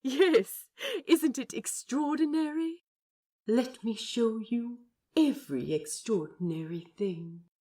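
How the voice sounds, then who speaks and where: A woman voicing a gentle, eager, bookish man with a proper, effusive manner in a fan audio drama. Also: fairly high pitch, slow, no room sound, clean studio sound. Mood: encouraging, delighted.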